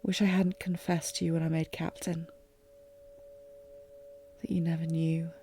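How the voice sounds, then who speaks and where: A woman playing a lovesick warrior queen, regal yet fragile, in an intimate fantasy audio drama with formal, emotive, halting delivery. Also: low-pitched, little pitch movement, dark tone, a little hiss or hum. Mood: sorrowful, resigned. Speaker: audio roleplay performer.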